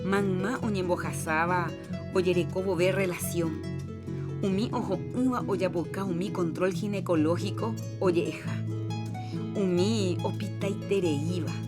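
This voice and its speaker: A woman's voice: fairly low pitch, measured pace. Paraguayan woman reading a health announcement. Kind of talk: scripted public health announcement. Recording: music bed under voice.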